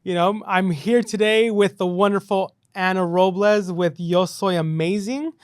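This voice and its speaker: A man's voice: high-pitched, fairly even intonation, a little slow, clean tone, dry recording, studio quality. A man hosting a casual podcast, upbeat and loose, filling his talk with "you know" and "right". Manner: upbeat, filler-heavy, says "you know" and "right" a lot. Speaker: podcast host.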